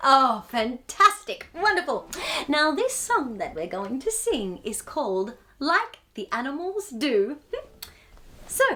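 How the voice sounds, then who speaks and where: A woman's voice: sits high, sing-song, slow, sharp tone, a little hiss or hum. A woman hosting a children's storytime, speaking in a bubbly, exclamatory, encouraging way as she addresses young viewers directly. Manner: bubbly, exclamatory, gushing encouragement with frequent gasps. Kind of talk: children's read-aloud show presenting.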